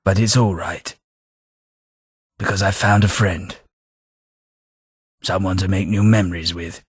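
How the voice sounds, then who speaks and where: An actor playing a forgetful older gentleman in a game, speaking in a husky, measured and formal way. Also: low-pitched, steady tone, no room sound, studio quality. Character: forgetful older English gentleman companion, weary and reflective. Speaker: game companion character. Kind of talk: video game voice acting.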